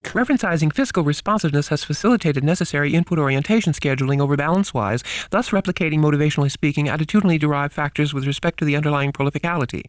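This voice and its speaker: A man's voice: fairly high pitch, racing, no room sound, clean studio sound, natural voice. A man playing an officious manager rattling off business gobbledygook in a parody radio ad. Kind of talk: parody radio commercial acting.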